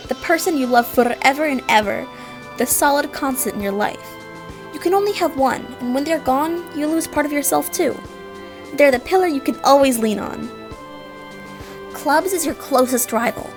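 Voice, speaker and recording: A woman playing an eager, girlish cat-like alien troll, earnest and dramatic in a fan audio drama. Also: high-pitched. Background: music.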